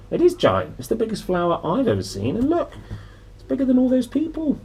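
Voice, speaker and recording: A young man reading a picture book to children expressively, with dramatic pauses, soft exclamations and little asides. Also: mid-range voice, vocal fry, low-quality recording. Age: young adult. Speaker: storybook reader and presenter. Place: small indoor room.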